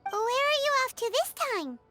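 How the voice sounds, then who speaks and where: A tiny floating fairy girl with a piercingly high, squeaky voice that swoops up and down. She is nosy and chatty, peppering everyone with questions. Soft game music plays behind her. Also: very animated, normal speed. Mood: curious. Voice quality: squeaky, childlike. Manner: inquisitive, talks about herself in third person.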